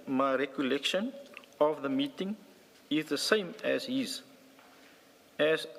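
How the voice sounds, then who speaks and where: Male South African police witness, formal and somewhat halting testimony, reverberant hearing-room sound. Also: a little high, a bit bright. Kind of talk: formal testimony, partly reading a statement aloud. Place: large indoor hearing room.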